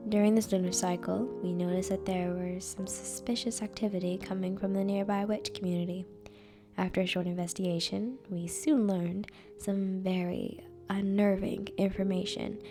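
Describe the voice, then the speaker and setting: A woman playing a composed vampire council member in a scripted roleplay, with formal, measured delivery. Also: medium pitch, level tone, music in the background, natural voice. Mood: calm, grave.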